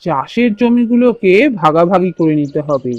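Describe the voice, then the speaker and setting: A man's voice: high voice, expressive, normal speed, a little mellow, dry recording, dull audio. A man voicing a cunning, greedy younger brother bird in a children's cartoon, scheming and self-assured.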